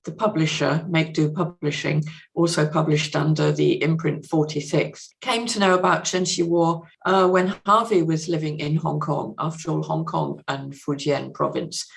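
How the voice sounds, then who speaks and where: Low, creaky-voiced woman explaining thoughtfully. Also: fairly even intonation. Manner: considered, articulate, pausing to find words. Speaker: podcast guest, literary translator. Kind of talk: conversational explanation in an interview.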